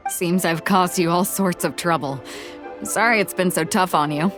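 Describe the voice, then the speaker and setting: A woman's voice: lowish voice, moderate pace, music in the background, natural voice. An actress playing a confident, easygoing female crew captain in an anime game, with casual assurance. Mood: apologetic.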